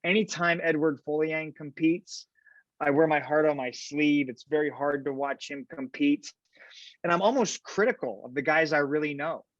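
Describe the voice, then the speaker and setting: A man, a fight commentator, chatting in an interview and telling lively stories, tagging his sentences with "right?". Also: fairly high pitch, moderate pace, dry recording. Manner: animated storyteller, fillers like "right?". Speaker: podcast guest, fight commentator. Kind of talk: casual interview chat. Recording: dull lo-fi sound.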